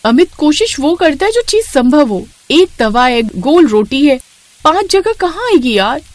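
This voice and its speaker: A woman's voice: sits high, sing-song, unhurried, dry recording, voice pushed up. A woman voicing an exasperated, sassy young newlywed daughter-in-law who protests plaintively in a cartoon story. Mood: annoyed.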